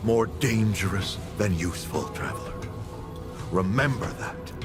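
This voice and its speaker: A man's voice: fairly low pitch, animated, a little slow. An actor playing a weary, haunted older founder telling his story in a video game. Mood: stern. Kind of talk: cinematic video game acting. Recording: loud music behind the voice.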